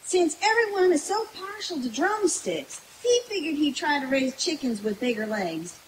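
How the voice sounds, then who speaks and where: A woman's voice: high-pitched, expressive, normal speed. A woman playing a folksy, jokey country gal in an old-time radio comedy skit, with a giggly delivery. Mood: folksy, joking. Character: folksy, jokey, man-hungry country woman named Mabel. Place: outdoor woodland stage at night. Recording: hissy live camcorder recording from a distance.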